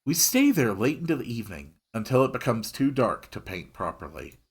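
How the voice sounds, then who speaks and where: A man's voice: medium pitch, sing-song, a little fast, husky. A man reading a story aloud expressively, dropping in wry, casual asides of his own. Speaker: host reading a visual novel aloud.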